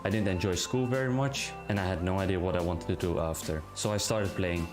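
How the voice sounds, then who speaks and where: Low-voiced man over music. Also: fairly quick. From Netherlands. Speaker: pro esports player. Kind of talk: documentary-style interview voiceover.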